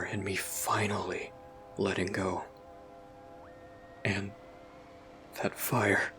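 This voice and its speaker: An actor playing a brooding, guilt-ridden young person recounting painful memories in a somber, halting audio drama monologue. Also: male voice, on the low side, pushed and bright, heavily acted. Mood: haunted, somber.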